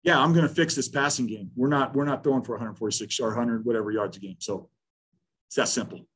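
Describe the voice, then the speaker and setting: A man's voice: medium pitch, fast, husky. A man in his fifties, a head football coach at a post-game press conference, talking bluntly with many restarts over a video call. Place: small room in front of a sponsor backdrop.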